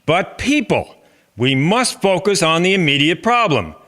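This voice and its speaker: Man acting formal, bright-voiced farmers' society chairman. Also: sits high, sing-song, a little slow, exaggerated voice. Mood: cautioning, urging. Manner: formal, instructive, speechmaking. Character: earnest male chairman of a farmers' agricultural society. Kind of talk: amateur historical drama, formal speech.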